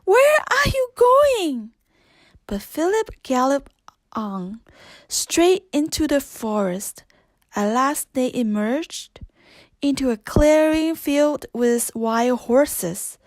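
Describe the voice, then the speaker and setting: A woman narrates a children's read-along story. Her voice is high and animated, and she takes it slowly with expressive storytelling. The recording is close and dry. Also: no echo.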